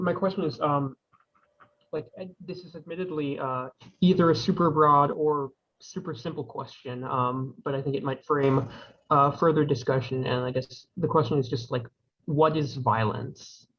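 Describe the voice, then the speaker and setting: A man with a fairly high voice stumbles his way into a question, restarting and filling the gaps with hesitations. The sound is dull and lo-fi, as over a video call. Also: steady tone, a little slow. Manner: hesitant, stammering. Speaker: audience questioner.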